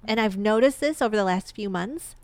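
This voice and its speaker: A young woman with a congested, blocked-nose voice chats at length on a podcast. Her tone is smooth and clean, recorded close and dry. She rambles easily from one story to the next. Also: medium pitch, brisk, a little mellow, no echo. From Michigan. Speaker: podcast co-host. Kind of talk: casual chat.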